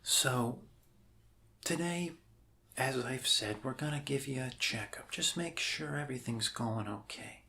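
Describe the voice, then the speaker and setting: A man playing an awkward, apologetic doctor in an ASMR roleplay, talking in a halting, rambling, reassuring way. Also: mid-range voice, creaky, sharp tone. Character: awkward, apologetic, bumbling male doctor welcoming an alien.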